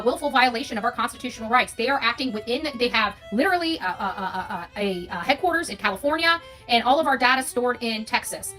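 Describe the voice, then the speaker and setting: A woman's voice: on the high side, sharp tone. A woman with an American accent giving an unscripted, opinionated monologue as an online creator, emphatic and repeating phrases for stress. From United States.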